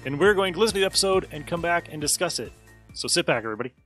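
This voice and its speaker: A man's voice: a little high, expressive, racing, a bit bright, faint music behind. A man hosting a podcast, delivering an introduction. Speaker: podcast host.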